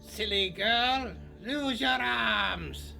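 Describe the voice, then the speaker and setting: Storybook villain: shrill old witch, greedy and menacing, a man voicing her, slow and creaky. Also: pushed and bright, soft background music, full character voice. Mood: impatient. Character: cackling greedy old witch disguised as a blind traveler.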